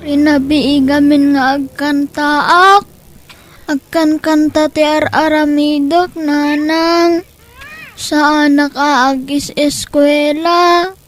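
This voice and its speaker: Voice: high voice, level tone, slow, faint music behind. A performer playing a weary, obedient young boy in an audio drama, with an Ilocano Filipino accent and a plaintive manner. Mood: pained, pleading.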